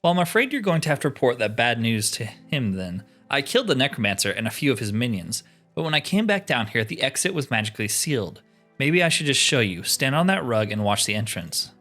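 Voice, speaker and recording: A man acts out a trapped fighter from a fantasy video game, blunt and wry. The delivery is businesslike and a little impatient, at a middling pitch with music underneath. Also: very animated, a little fast, sharp tone, exaggerated voice. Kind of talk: reading game dialogue in character.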